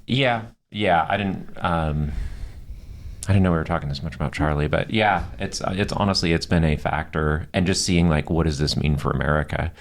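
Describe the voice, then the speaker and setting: A man's voice: rumbling low, normal speed. A man co-hosting a podcast, talking conversationally and steering the discussion with firm opinions. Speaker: podcast co-host.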